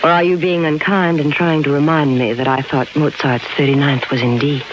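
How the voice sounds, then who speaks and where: A woman's voice: low-pitched, fairly even intonation, normal speed, close to an everyday voice. A woman playing a cool, flirtatious society widow, poised with coy hesitations, in a 1950s radio crime drama. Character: cool, flirtatious femme fatale, wealthy brunette widow. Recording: noisy 1950s radio broadcast recording.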